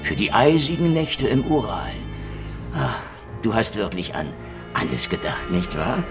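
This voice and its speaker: Sardonic hardboiled detective, swinging pitch. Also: male voice, very animated, a little slow, over music. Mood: mock admiring. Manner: sardonic, wisecracking, dry. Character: cynical hardboiled Soviet secret police detective, sardonic and defiant. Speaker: lead actor and first-person narrator. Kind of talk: German hardboiled radio crime drama acting.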